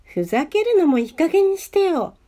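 A woman's voice: extremely high pitch, very animated, normal speed, warm tone, voice pushed up. A woman playing a proud, lovesick teenage schoolgirl in an amateur radio drama, talking to herself dramatically. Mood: indignant, secretive. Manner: dramatic, tsundere-like, talks to herself.

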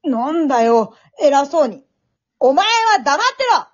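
An amateur actor playing a taunting, loutish little schoolboy bully in a radio drama, rough-mouthed and jeering. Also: high voice, lively intonation, a little slow, a little hiss or hum. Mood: angry. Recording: dull, lo-fi audio.

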